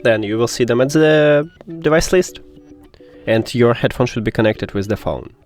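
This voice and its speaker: A man's voice: medium pitch, normal speed, a little mellow, soft background music. A man hosting a how-to tech video, talking the viewer through instructions step by step in a direct, instructional way. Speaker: host of a tech troubleshooting tutorial. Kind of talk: spoken step-by-step tutorial.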